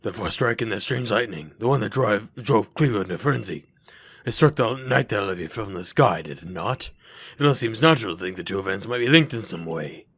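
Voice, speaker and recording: A man's voice: on the low side, lively intonation, a little fast, fairly bright tone, husky, voice pushed down, heavily acted. A man voicing a stern, suspicious expedition commander for a fan-made game series, speaking formally and with authority. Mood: accusing.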